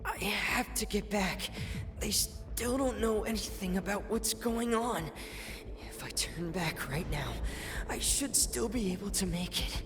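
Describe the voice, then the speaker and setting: A woman's voice: medium pitch, a little slow, natural voice. An actress voicing a young woman alone underwater, speaking a breathless, strained inner monologue. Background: game music.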